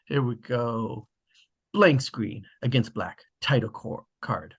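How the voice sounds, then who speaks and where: A man with a slightly husky voice, casually hosting an online table read and narrating the stage directions. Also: medium pitch, slow. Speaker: host and stage-direction reader. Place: online video call.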